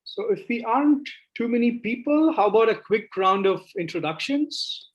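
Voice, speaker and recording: A man's voice: high-pitched, lively intonation, moderate pace, highs cut off. A man hosting an online workshop, calling on participants to introduce themselves in a friendly way. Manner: friendly, inviting, speaks in short prompts. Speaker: workshop host. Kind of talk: casual online meeting. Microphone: video call.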